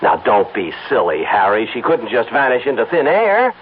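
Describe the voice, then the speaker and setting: A man's voice: medium pitch, wildly swooping pitch, moderate pace, slight background noise. An actor playing a strained, obsessive advertising man in a 1970s radio drama, talking in a clipped, evasive way. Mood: irritable. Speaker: lead character in a radio play. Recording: tinny, music-backed old radio broadcast.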